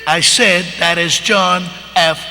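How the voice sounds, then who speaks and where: A man's voice: high voice, steady tone, takes it slowly, vocal fry, sharp tone, full character voice. A man voicing a cocky, streetwise cartoon wise guy in a live comedy script reading. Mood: breezy, shifty. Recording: echoey convention hall, background noise.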